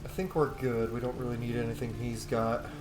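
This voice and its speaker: A man's voice: mid-range voice, fairly even intonation, a little fast. Man casually commentating a game, roomy echo. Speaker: gaming streamer. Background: faint game music.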